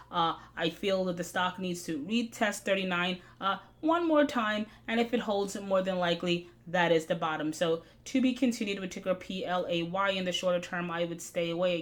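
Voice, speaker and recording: Hoarse-edged woman recapping stock charts. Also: fairly low pitch. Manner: brisk analytical, frequent "uh" fillers. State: slightly hoarse. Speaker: stock market video host. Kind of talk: casual market recap over charts.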